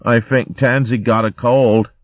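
A man's voice: mid-range voice, slow, no echo, narrow, tinny audio, pitched above the performer's own voice. A man voicing a small mischievous young mouse in a spoken storybook. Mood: cheeky. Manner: cheeky, sing-song teasing.

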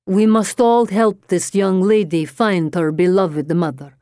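Female narrator as storybook spirit medium, deep growl, solemn, slow, portentous, dry recording. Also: fairly even intonation, mellow, clear, resonant tone, no echo, natural voice. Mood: solemn, sympathetic. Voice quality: deep, growling low register. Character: theatrical spirit medium, grave woman summoning the dead.

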